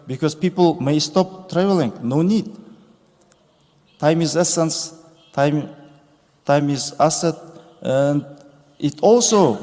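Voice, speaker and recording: A man, giving a formal conference speech with slow, deliberate phrasing and pauses. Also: a little high, darkish tone. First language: Kazakh. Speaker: conference speaker. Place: large conference hall stage. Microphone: podium microphone. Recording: reverberant conference hall.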